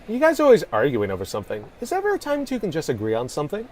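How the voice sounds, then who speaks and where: A woman's voice: deep voice, huge pitch swings, rapid-fire, darkish tone, a little hiss or hum. A woman playing a cheerful, mysterious teenage boy who leads adventures, upbeat and theatrical, in an amateur script read. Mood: playful.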